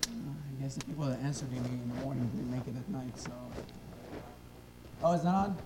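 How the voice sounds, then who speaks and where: A young man in his twenties from Syria, giving a halting church testimony full of fillers and restarts into a handheld mic. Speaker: missionary. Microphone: handheld wireless mic. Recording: echoey hall, faint rumble.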